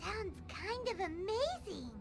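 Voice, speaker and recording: An extremely high, squeaky little fairy girl, bubbly and full of cheerful boasting. Her childlike voice swings up and down as a video game guide, with loud music behind it. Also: big pitch swings, takes it slowly, exaggerated voice. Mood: amazed. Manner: cheerful, boastful, third-person self-reference. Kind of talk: scripted English video game voice acting.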